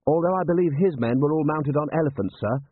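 Man acting glum young adjutant, lifted pitch. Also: fast, lightly acted. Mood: glum, careful. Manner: careful, deferential, quietly contradicting. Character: glum, respectful but doubting junior army lieutenant. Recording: thin, band-limited, phone-like sound.

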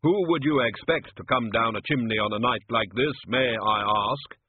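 Guy doing storybook grim reaper playing santa, slow n awkward, very literal, husky, muffled sound. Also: mid-range voice, no echo, lightly acted. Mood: stiffly jovial. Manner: slow, hesitant, literal-minded.

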